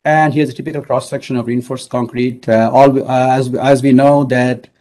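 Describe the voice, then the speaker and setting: Man, middle-aged, from Bangladesh, engineering lecturer, measured and halting, warm mellow tone. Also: mid-range voice, level tone. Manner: measured, uses many fillers. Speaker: engineering professor. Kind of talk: online lecture.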